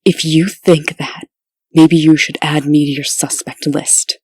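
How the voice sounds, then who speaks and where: A woman's voice: deep voice, little pitch movement, a little slow, a little mellow, breathy edge, no echo. A woman playing a serious, determined official in a comic dub, speaking in a precise, earnest and insistent way. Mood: insistent, offended. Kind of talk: comic dub character acting.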